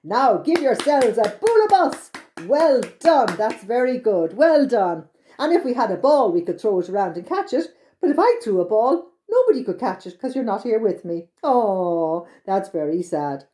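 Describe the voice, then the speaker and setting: A woman's voice: on the high side, sing-song, moderate pace, dark tone. A woman with an Irish accent leading a children's storytime, speaking simply and encouragingly straight to her young listeners. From Ireland. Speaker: library storytime host leading songs and exercises.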